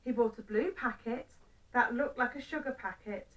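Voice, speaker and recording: A woman with a fairly high voice narrates a children's story. She uses simple phrases and deliberate pauses, recorded slightly away from the microphone. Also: distant mic, rough recording.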